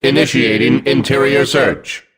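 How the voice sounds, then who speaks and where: A man voicing a hulking combat robot in a video game, gruff and mechanical, giving clipped, vengeful commands. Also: mid-range voice, steady tone, measured pace, a bit bright, low-quality recording. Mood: cold, commanding.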